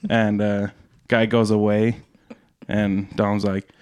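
A man's voice: lowish voice, level tone, slow, a little mellow, clear, resonant tone, dry recording. A young man telling rambling stories in casual chat, laughing and swearing, tired from staying up all night.

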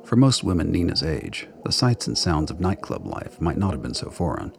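A man's voice: low voice, big pitch swings, moderate pace, vocal fry, faint music behind. A man narrating a scripted radio drama as a storyteller.

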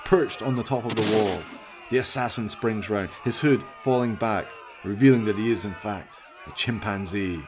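Low-voiced man narrating. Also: normal speed, noisy background. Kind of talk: dramatic scripted narration of a radio play.

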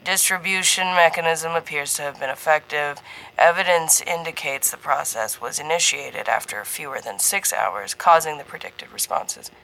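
A woman's voice: sits low, normal speed, gravelly, a bit bright, a little hiss or hum, poor audio. A woman voicing a pompous male research scientist dictating a report in a clinical, sinister manner for an audiobook. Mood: clinical, detached. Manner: clinical, self-satisfied dictation.

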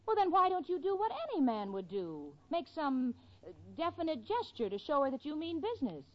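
An exasperated, level-headed young woman in a 1940s radio comedy. Her high voice swings widely as she makes her earnest, wry points. The old recording is dull. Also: big pitch swings, brisk. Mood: insistent. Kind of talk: 1940s radio sitcom acting.

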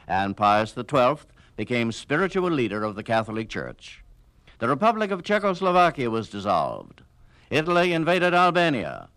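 A man's voice: mid-range voice, fairly bright tone. A man reading dramatic scripted radio documentary narration in a grand, weighty manner.

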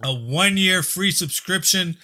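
A man's voice: high-pitched, unhurried. A man co-hosting a casual podcast, reading out headlines and joking, laughing often. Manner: laughs often, animated delivery. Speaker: podcast co-host.

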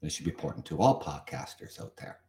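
A man's voice: rumbling low, sing-song, fast, warm tone, reverberant. A Canadian man hosting a solo podcast, chatting and commenting as he reads from an article, with some repetition. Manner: chatty, repeats himself, comments on what he reads. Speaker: podcast host.

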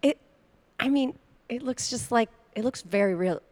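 A woman acts out a blunt, credulous wife in an improvised radio comedy. The voice is highish and very mellow, earnest and a little slow on the uptake. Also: animated, natural voice. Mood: wondering.

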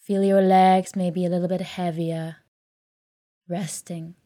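A woman leading a guided sleep meditation in a calm, soothing, gentle way, leaving long pauses between phrases. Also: fairly low pitch, dry recording, clean studio sound. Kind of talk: guided sleep meditation, soft scripted narration.

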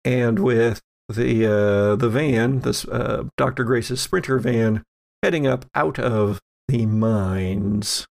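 Guy as game master, gravelly voice, takes his time telling the story, pauses a lot. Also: mid-range voice, a little mellow. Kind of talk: recapping and narrating a tabletop roleplaying game.

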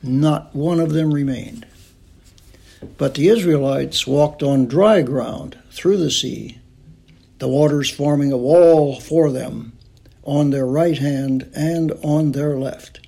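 Male scripture reader, slow and solemn, with a husky, creaky voice. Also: on the high side, warm tone.

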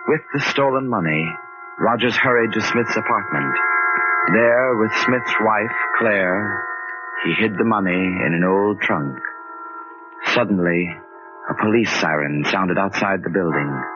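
A man narrating a 1940s radio drama from a script in a dramatic, deliberate way. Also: on the low side. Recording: dull 1940s radio recording, loud music behind. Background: loud music.

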